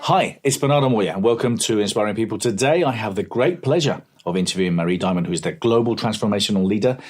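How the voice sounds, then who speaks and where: A man's voice: lowish voice, quick pace, a little mellow, breathy edge, faint music behind. A man hosting an interview show, introducing and questioning his guest.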